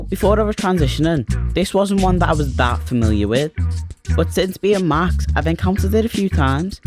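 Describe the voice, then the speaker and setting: A man in his twenties from Liverpool with a Liverpool accent, narrating a short animated film in a chatty, wry, self-mocking way. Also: medium pitch. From Liverpool, England. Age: 20s.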